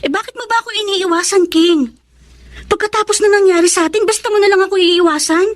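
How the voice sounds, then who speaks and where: A woman's voice: very high voice, quick pace. A woman playing a manipulative, spoiled rich schoolgirl in a Filipino radio drama, with melodramatic, pleading delivery. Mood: insistent, accusing.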